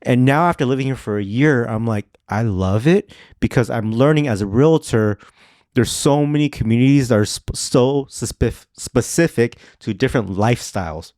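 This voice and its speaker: A man's voice: mid-range voice, moderate pace, darkish tone, no echo. Podcast co-host and realtor type, male, joking and offhand, dry studio sound. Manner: loose and joking, trails off and restarts. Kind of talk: casual chat.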